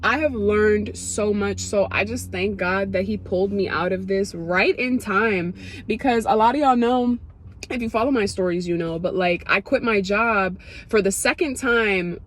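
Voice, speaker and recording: A young woman vlogger from Ethiopia talks straight to her viewers in a loose, chatty stream of thought. She gets emotional and excited as she goes, with lots of "like" and quick restarts. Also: mid-range voice. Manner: emotional, excitable, many fillers. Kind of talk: casual testimony to camera.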